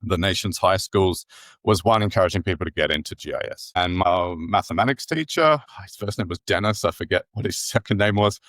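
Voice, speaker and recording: A man's voice: low-pitched, fairly quick, fairly bright tone, dry recording. An Australian man in his forties, a podcast guest explaining his thinking at length in a thoughtful, rephrasing way. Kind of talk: casual interview chat.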